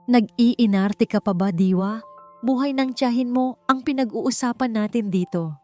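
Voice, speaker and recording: A woman's voice: fairly high pitch, measured pace, a little mellow, pitched above the performer's own voice, lightly acted. A woman voicing a menacing, condescending male boss in an audiobook drama, coaxing and taunting, with music behind. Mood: threatening. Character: menacing, manipulative male hotel boss, sneering and bribing.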